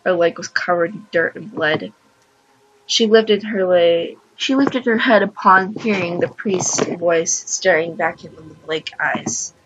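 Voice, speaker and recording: A woman hosting a gaming video, reading a story aloud and adding casual, excitable asides. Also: lowish voice, measured pace, dull audio, background noise. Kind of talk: let's play commentary, reading story aloud.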